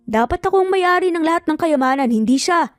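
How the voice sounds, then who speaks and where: A woman's voice: very high-pitched, expressive, fairly quick, no echo, clean studio sound, faint music behind, voice pushed up. A woman voicing a greedy, threatening uncle who snarls his lines in an audio story. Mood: bitter, furious. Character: greedy, menacing uncle plotting against his sister. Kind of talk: Tagalog audio story dialogue.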